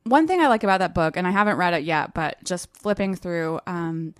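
A woman's voice: lowish voice, expressive, brisk, clean tone, no echo, clean studio sound. An American woman co-hosting a podcast in casual conversation about books. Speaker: podcast co-host. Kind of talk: casual book chat.

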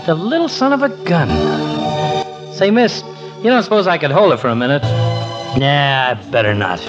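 A man playing a brash but soft-hearted American working stiff in a vintage radio comedy. He talks slangy and eager in a high, bouncy voice, on a dull recording with some hiss. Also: lively intonation, normal speed, loud music behind the voice, close to an everyday voice. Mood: tender, shy. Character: brash, soft-hearted working-class regular guy named Floyd. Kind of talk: 1940s radio comedy acting.

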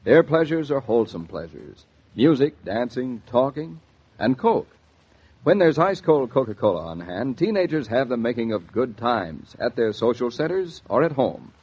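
An American man with a husky voice, doing scripted announcing in 1940s radio style. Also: mid-range voice, very animated, normal speed, a little mellow. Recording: dull lo-fi 1940s radio recording.

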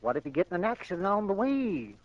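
An actor playing a gloomy, grumbling rural pessimist with a folksy manner in a 1940s radio drama. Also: male voice, sits high, big pitch swings, fast. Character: gloomy, sour rural naysayer forever predicting disaster. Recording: muffled 1940s radio broadcast.

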